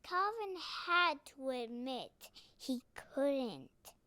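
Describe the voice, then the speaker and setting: A child reading a picture book aloud carefully, phrase by phrase, with pauses. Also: high voice, big pitch swings, bright, forceful tone, no room sound. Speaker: storybook reader.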